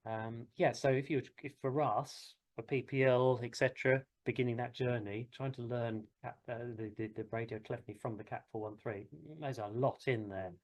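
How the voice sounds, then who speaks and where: A man's voice: mid-range voice, steady tone, normal speed, hardly any pauses, highs cut off. A British man giving an informal classroom talk as a flying instructor, rambling and hesitant but encouraging. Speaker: helicopter flying instructor and session host.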